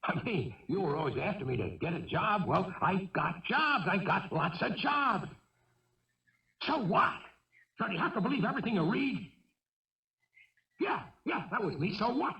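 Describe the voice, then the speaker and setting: A man's voice: high-pitched, sing-song, moderate pace, long pauses, pushed and bright, distant mic, full character voice. An actor playing a troubled young fugitive killer in a 1970s radio drama, defensive and childlike, stammering and repeating himself as he pleads. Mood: defensive, agitated. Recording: roomy, muffled old radio broadcast.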